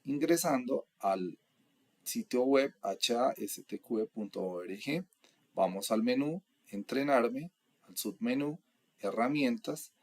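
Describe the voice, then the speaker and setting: A young adult man from Colombia, explaining course material in a steady, methodical lecture. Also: medium pitch, gravelly, slightly rough. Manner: steady, methodical, lists items one by one. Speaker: instructor.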